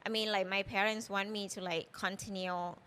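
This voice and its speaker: A woman's voice: mid-range voice, steady tone, moderate pace, a bit bright, smooth. A woman in her thirties from Thailand, with a Thai accent and Thai as her first language, chatting loosely as a chef guest in an interview. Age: 30s. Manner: chatty and loose, full of "you know" and "like". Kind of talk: casual interview.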